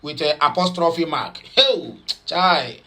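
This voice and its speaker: A man's voice: on the high side, lively intonation, slow pace, sharp tone. A man speaking in Nigerian Pidgin with some Igbo, giving chatty, mocking news commentary full of fillers and repeats. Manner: rambling with many fillers and repeats.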